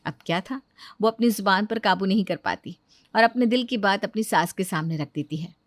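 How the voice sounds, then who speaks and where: A woman's voice: mid-range voice, normal speed, dry recording, studio quality. A woman reading scripted narration for a Hindi cartoon family story.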